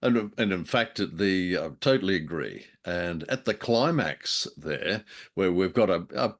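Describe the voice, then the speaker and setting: A very low-voiced man talks as a co-host in a relaxed review conversation. He is wry and jokey, and likes to put things with a dramatic flourish. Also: a little slow. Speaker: podcast co-host. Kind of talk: casual review chat about old-time radio drama.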